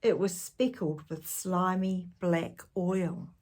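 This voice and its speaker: A woman storyteller reads a children's book at a very slow, measured pace. Her voice is fairly low and husky, with expressive delivery aimed at young listeners. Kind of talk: children's storybook read-aloud with asides to the listener.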